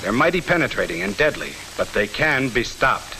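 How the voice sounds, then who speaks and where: A man's voice: mid-range voice, sing-song, moderate pace. A man narrating a mid-century instructional film in a blunt, punchy, slangy style, talking straight to the listener. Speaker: military training film narrator. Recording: old film soundtrack, faint hiss.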